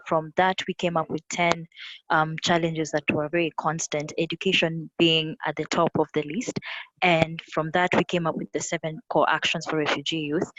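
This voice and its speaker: A young adult woman from South Sudan with an East African accent, giving a webinar presentation in a halting way with repeated words. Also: sits low, a bit bright, no room sound, dull audio. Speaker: youth advocate presenting. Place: online call from a windy spot. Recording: windy, noisy online call with clicks. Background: wind noise.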